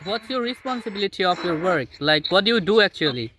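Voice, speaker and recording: A man's voice: sits high, lively intonation, fast talker. Hesitant young Bangladeshi man. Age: 20s. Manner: hesitant, stumbling, laughs often. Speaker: vlogger practicing English. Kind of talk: casual video chat.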